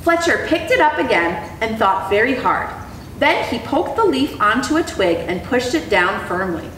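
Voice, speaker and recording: A young woman reading a children's storybook aloud in an expressive, educator's style. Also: on the high side, normal speed, slight background noise. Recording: echoey room, clear background ambience.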